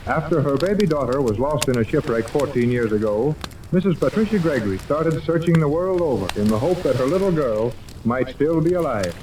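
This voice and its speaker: An actor playing an earnest announcer, narrating a 1930s radio serial from a script. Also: male voice, mid-range voice, expressive, brisk, natural voice. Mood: solemn. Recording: distant, crackly old radio recording.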